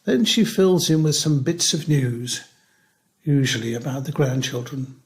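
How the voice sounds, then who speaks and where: An older man playing a lonely, wry retired teacher near eighty in a care home, in a reflective, measured dramatic monologue. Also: medium pitch, natural voice. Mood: wistful, wry.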